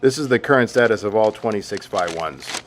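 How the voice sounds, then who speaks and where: A man's voice: lowish voice, expressive, a little fast, a little hiss or hum, natural voice. A man playing a formal, pragmatic army general in an audio drama, speaking with clipped authority. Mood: firm.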